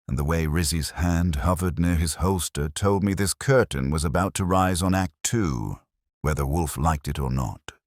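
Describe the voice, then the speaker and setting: Very deep-voiced man narrating a detective story. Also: no room sound, clean studio sound. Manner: wry, wisecracking. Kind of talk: first-person hardboiled detective audiobook narration.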